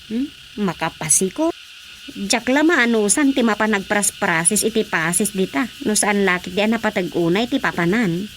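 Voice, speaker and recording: A woman's voice: medium pitch, lively intonation, normal speed, a little hiss or hum, lightly acted. A woman with a Filipino accent acting a cheerful wife in a radio drama. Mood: conversational.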